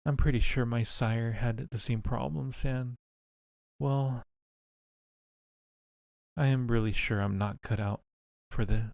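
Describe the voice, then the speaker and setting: A man's voice: mid-range voice, fairly even intonation, slow pace, gravelly, pauses often, darkish tone, no echo, clean studio sound. A man voicing a timid, self-doubting female vampire in a visual novel, confiding hesitantly and anxiously. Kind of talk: visual novel inner monologue.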